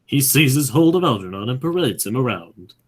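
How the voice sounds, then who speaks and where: A man's voice: medium pitch, animated, moderate pace, natural voice. A man narrating stage directions for an amateur radio play in a scripted reading style. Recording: clearly noisy amateur recording.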